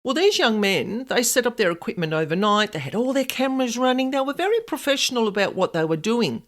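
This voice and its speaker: A middle-aged woman with a loud, extravagant voice, talking casually as a podcast host. Her pitch swoops up and down with animated energy as she drifts from one tangent to the next. She sounds like she is chatting freely rather than reading. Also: quick pace. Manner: extravagant, animated, easily sidetracked. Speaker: podcast host, paranormal investigator.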